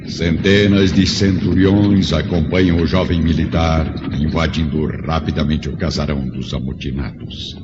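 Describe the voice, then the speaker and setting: A man's voice: very deep voice, steady tone, normal speed, few pauses, background noise. A man narrating a scripted audiobook in a dramatic style. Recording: dull lo-fi audio with music bed.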